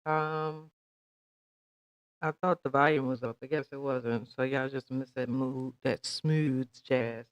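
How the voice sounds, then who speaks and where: A man's voice: medium pitch, fairly even intonation, slow pace, smooth, dry recording. A man hosting his channel, introducing a clip in a laid-back, chatty way. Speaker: channel host. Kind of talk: casual vlog intro.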